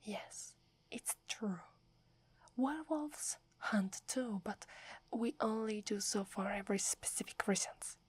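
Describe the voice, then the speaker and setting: A woman's voice: mid-range voice, slow, slightly rough. A woman with an Italian accent voicing a proud, wary female werewolf who guards a holy forest, speaking in an accusing whisper for an ASMR roleplay. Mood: scornful. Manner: scornful, accusing.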